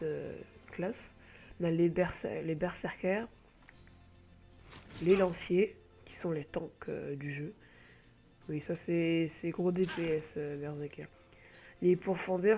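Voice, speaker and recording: A man's voice: a little high, mellow. Hesitant man with a cold. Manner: hesitant, rambling, full of filler sounds. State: has a cold. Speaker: gamer presenting an online game. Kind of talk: casual gameplay commentary. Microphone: home computer mic.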